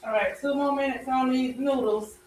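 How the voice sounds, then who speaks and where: A woman's voice: high voice, steady tone, normal speed, a little hiss or hum. Chatty woman in an echoey kitchen. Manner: chatty, warm greetings and blessings to viewers. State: slightly raspy, recovering voice. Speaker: health coach and vlogger. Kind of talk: casual cooking vlog chat. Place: home kitchen.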